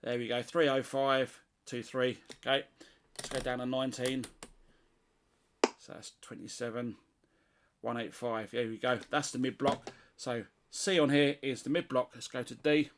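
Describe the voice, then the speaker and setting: A man's voice: medium pitch, steady tone, moderate pace. A middle-aged British man with a mid-range voice gives an informal, hands-on demonstration. He speaks unscripted, working things out aloud as he tests. Noticeable noise and small clicks sit behind his voice. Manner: unscripted, thinking aloud while testing. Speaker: CB radio hobbyist presenter.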